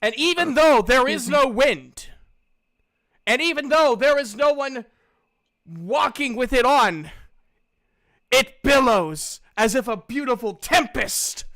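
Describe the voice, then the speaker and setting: A game master improvising narration for a tabletop game in an informal, halting manner. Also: high voice, lively intonation, fairly bright tone.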